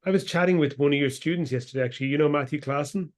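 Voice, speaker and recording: A man hosting a podcast interview, chatting casually and warmly with his guest, often restarting his sentences. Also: fairly high pitch, rapid-fire. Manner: friendly, chatty, with frequent restarts. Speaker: podcast host.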